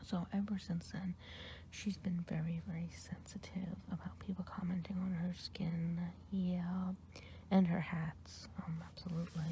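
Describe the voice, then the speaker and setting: A woman voicing a kind, gossipy good witch in an ASMR roleplay, whispering close to the mic and rambling with gentle hesitations. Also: fairly low pitch, little pitch movement, measured pace, slight background noise, lightly acted. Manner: whispered throughout.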